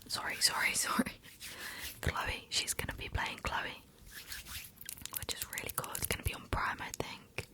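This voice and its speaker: Softly whispering woman. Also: normal speed, pauses often. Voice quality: soft unvoiced whisper. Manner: chatty, repeats words in loops. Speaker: ASMR livestreamer. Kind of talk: whispered ASMR livestream chat.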